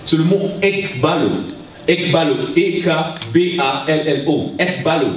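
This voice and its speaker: A man in his thirties from Congo, preaching in halting French with many restarts, holding a handheld mic in a large church hall. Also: a little high. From Congo (Kinshasa). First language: English is his stronger language. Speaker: guest preacher. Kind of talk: sermon to young people. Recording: echoey hall, mic heard from a distance.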